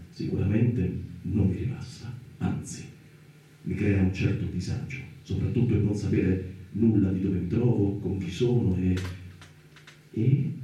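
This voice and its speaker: A very dark, mellow male voice in a cavernous echo, far from the microphone. A man acts out a lost, trapped soul in a radio play, questioning himself in halting theatrical phrases. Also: on the low side, dull audio, close to an everyday voice. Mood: uneasy. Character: trapped, confused man alone in darkness, searching for himself. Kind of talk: Italian radio theatre monologue and dialogue. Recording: very echoey, distant, noisy radio drama recording.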